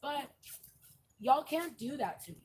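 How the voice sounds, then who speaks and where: A woman's voice: high voice, expressive, unhurried. Brief: strong-voiced woman, fiery protest speech, faint street noise. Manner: forceful, indignant, profane. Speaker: protest marcher. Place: outdoors at a night street protest.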